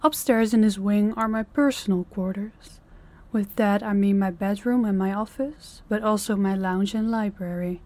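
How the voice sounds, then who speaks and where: A woman playing a dominant, cold mafia boss in a roleplay audio, speaking to the listener in a deliberate, condescending, commanding way. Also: medium pitch, fairly even intonation, dark tone, natural voice. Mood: cool, commanding.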